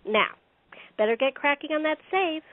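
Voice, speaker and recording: A woman's voice: high voice, expressive, normal speed, dry recording. A woman playing a sinister, coolly confident secretary turned armed robber in an audio play, mocking and self-assured. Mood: smug, scornful. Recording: tinny narrow phone-like sound.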